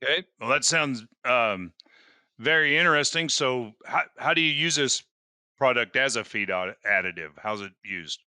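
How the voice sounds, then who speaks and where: A man's voice: medium pitch, lively intonation, moderate pace, bright, forceful tone, no room sound, clean studio sound. A man hosting a podcast, introducing his guest and asking questions with frequent hesitant fillers. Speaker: podcast host.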